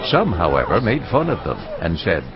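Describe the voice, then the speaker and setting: A man's voice: deep voice, huge pitch swings, moderate pace, a loud crowd. A man reading scripted narration for a dramatized scripture audiobook. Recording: dull sound with music underneath.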